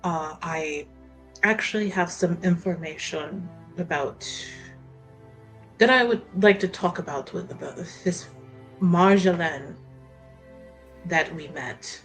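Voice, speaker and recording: A woman's voice: on the low side, slow pace, long pauses, rough recording. A woman playing a tabletop roleplaying game, improvising dialogue with hesitant, careful phrasing. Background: music behind the voice.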